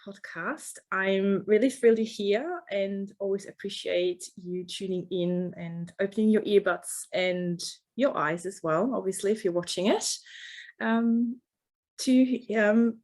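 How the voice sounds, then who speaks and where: A woman hosts a podcast with an enthusiastic, chatty manner, filling pauses with ums. Her voice sits at a middling pitch. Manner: warm, enthusiastic, many ums. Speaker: podcast host. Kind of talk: casual interview chat.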